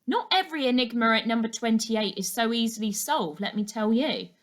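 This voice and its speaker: A woman's voice: fairly high pitch, lively intonation, brisk, lightly acted. A woman voicing a flamboyant, gossipy village busybody in her sixties for a children's story, chatty, effusive and dramatic. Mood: amused, nosy.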